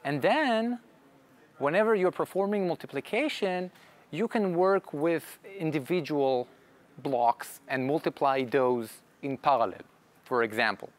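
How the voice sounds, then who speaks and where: A man's voice: a little high, unhurried. A man whose first language is Hebrew, giving a technical conference talk and answering audience questions, explaining step by step with frequent restarts. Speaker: conference speaker.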